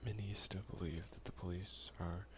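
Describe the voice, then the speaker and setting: A man doing casual, hushed ASMR gaming commentary, soft-spoken and often slipping into a whisper, close to the mic. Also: deep voice, fairly even intonation, moderate pace, a little hiss or hum. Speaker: let's play ASMR video creator.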